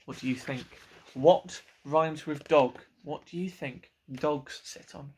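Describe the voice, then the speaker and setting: A man's voice: fairly high pitch, slow, darkish tone, slightly rough. A young man, reading a picture book and chatting to children as a school storyteller.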